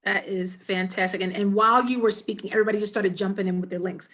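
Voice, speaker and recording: A woman's voice: mid-range voice, steady tone, fast, a bit bright. A middle-aged woman hosting an online panel discussion from a room at home over a video call. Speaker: panel host. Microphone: computer mic on a video call.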